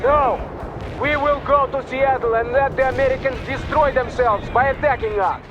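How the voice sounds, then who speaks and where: An actor playing a zealous, stern Soviet army captain in a video game, forceful and indignant, with noise behind him. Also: male voice, very high voice, fairly even intonation, moderate pace, highs cut off. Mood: defiant, urgent.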